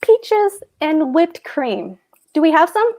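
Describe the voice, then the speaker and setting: A woman's voice: sits high, big pitch swings, slow, darkish tone, heavily acted. A woman reading the part of a pompous, anxious husband, a fussy government clerk, at a table read of a stage comedy. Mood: grumbling. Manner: pompous, hectoring, fretful.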